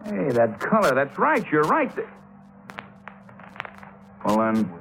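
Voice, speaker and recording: An American actor playing a blunt, impatient police lieutenant in a 1950s radio drama, gruff and skeptical. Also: male voice, a little high, huge pitch swings, takes it slowly, a bit bright, full character voice, low-quality recording. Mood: dawning realization. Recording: vintage 1950s radio broadcast recording.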